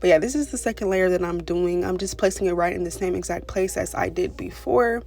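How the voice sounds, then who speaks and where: Young woman, low and gravelly raspy voice, chatty and casual, walks viewers through a beauty tutorial. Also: fairly quick. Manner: chatty, fillers like "you know" and "pretty much". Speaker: beauty vlogger. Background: soft background music.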